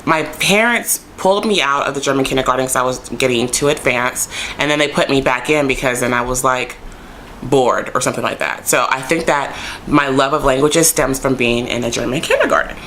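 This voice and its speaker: A woman vlogger chatting casually to camera, rambling with lots of ums and likes and jumping up in pitch when excited. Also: a little fast, a little hiss or hum, low-quality recording. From United States. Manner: animated, jumps high when excited.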